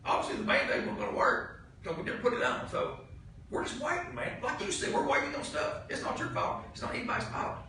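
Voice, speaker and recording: Male, Southern US, high animated voice, blunt and exasperated public-meeting speaker, heard in a very reverberant room. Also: fairly quick, vocal fry. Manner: exasperated, blunt, folksy, repeats points emphatically. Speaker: county commissioner. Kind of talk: heated speech at a public meeting. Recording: noisy, very echoey meeting room, distant mic.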